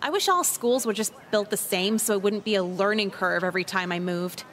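An actress voicing a jaded, manipulative teenage schoolgirl in a visual novel with deadpan sarcasm. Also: medium pitch, a little fast, slight background noise, natural voice. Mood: dry, candid.

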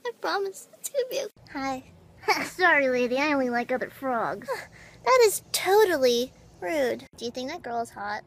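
A woman in her twenties with a very high, childlike voice, doing short comedy sketches in a playful, bubbly way, filmed on a phone held at arm's length. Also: sing-song. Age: 20s. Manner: playful, bubbly, quick jokes, swings into squeals. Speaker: comedy video creator. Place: at home, in cars and outdoors. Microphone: phone camera at arm's length.

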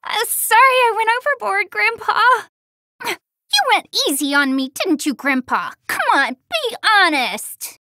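A brash young martial-arts girl in an anime fighting game. The voice is very high and girlish, swinging widely in pitch with a forceful, bright edge. The delivery is cocky, spirited and full of exclamations. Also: sing-song, unhurried, no echo, clean studio sound, exaggerated voice. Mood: teasing. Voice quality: girlish, youthful. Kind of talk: English-dubbed anime video game battle lines.

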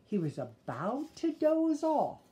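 A woman's voice: high-pitched, wildly swooping pitch, takes it slowly, mellow. A woman in her sixties reading a children's story aloud expressively, with small asides to listeners, in a living room. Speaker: storybook reader. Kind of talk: children's read-aloud narration.

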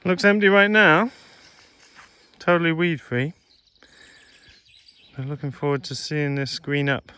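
A man giving a relaxed, chatty tour of his farm, filmed on a handheld phone outdoors and in sheds. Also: on the high side, unhurried, pauses often, clean tone, dry recording. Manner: relaxed, gentle, chatty with a child. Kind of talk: casual walking farm tour vlog. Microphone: handheld phone camera.

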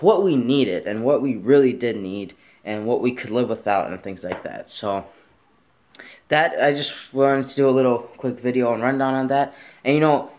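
A young man vlogging casually from his bedroom, talking in a rambling, easygoing way with plenty of fillers, recorded on a handheld camera at arm's length. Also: medium pitch. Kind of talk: casual vlog talk to camera. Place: bedroom at home, indoors.

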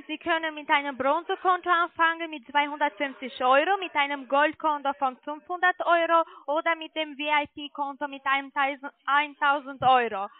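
A non-native German-speaking woman giving a hesitant sales pitch over the telephone as a call centre agent. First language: not German. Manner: hesitant, halting. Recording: muffled narrowband phone line.

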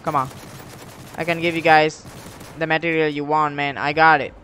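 A young man giving casual gameplay commentary in an excitable, slangy, profane way, speaking into a headset. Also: fairly high pitch, normal speed. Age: young adult. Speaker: gamer, YouTube host. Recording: clear game gunfire noise behind voice. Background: shooter game gunfire and clicks.

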